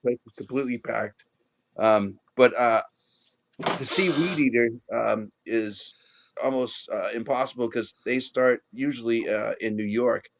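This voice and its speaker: A man's voice: mid-range voice, measured pace, gravelly, slight background noise. A middle-aged man from the Boston area with a Boston accent, a guest in a casual chat who rambles with many restarts and fillers. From Boston area, Massachusetts.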